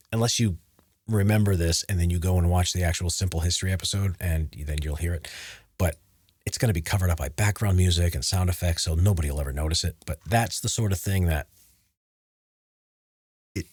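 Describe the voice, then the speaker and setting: A man with a very low, deep voice, slightly husky, talking casually as he walks viewers through editing his own voice-over takes. He is recorded close on a studio boom mic in a home studio, and the sound is dry with no room echo. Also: brisk, a little mellow. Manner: talks over his own playback, breaking off to explain edits. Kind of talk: casual livestream tutorial mixed with recorded narration takes. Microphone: studio mic on a boom arm.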